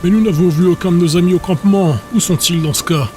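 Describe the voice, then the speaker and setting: A man's voice: high voice, fairly even intonation, fast, darkish tone, faint music behind, lightly acted. A man playing an anxious, insistent young villager in an audio drama.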